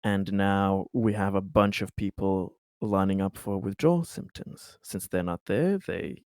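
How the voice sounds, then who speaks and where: A man with a fairly low voice plays a tabletop roleplaying game, casually discussing ideas and plans with the others. The sound is dry and close. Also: a little slow, a little mellow, dry recording.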